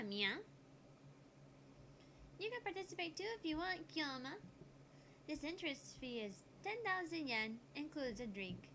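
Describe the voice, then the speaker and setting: Playful anime-game cat-girl maid, acted by a woman: high, cutesy, mewing verbal tics, faint background noise. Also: pushed and bright, exaggerated voice. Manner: adds cat-like nya sounds to sentences.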